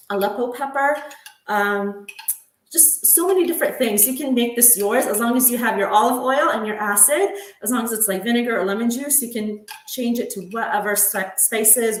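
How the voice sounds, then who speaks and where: A woman's voice: fairly high pitch, roomy sound. A woman giving a casual home cooking demo, chatty, with frequent ums and light laughs. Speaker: home cook demonstrating a recipe. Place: home kitchen.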